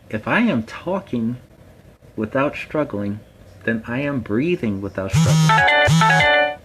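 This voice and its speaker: A man's voice: medium pitch, a bit bright, a little hiss or hum, low-quality recording. A man playing a friendly superhero storytime host, talking casually and earnestly to viewers in a plainspoken, emphatic way. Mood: firm, instructive. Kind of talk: casual instructive talk to viewers.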